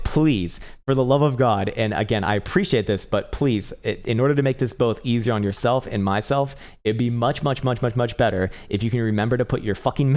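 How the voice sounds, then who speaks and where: A man hosting a live crypto trading stream, talking loosely and profanely with halting restarts as he reads viewer chat, his voice getting strained and hoarse. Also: lowish voice, a little mellow. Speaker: crypto trading livestream host. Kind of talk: casual live-stream chart commentary.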